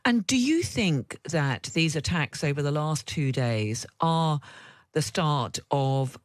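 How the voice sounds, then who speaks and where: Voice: medium pitch, expressive, takes it slowly, dry recording. News presenter interviewing, dry studio sound.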